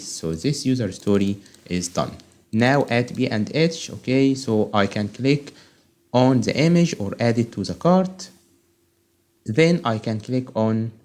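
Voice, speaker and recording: A man whose first language is Arabic talks a viewer through a software testing quiz and project. He goes step by step, stops to check with "okay?", and keeps an everyday middle pitch. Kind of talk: screen-recorded tutorial walkthrough.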